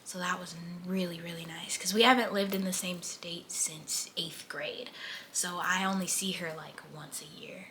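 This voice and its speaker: An eighteen-year-old woman chatting casually as a vlogger, full of "like" fillers and drifting between lively and hushed. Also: on the low side, normal speed, slight background noise. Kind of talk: casual chat.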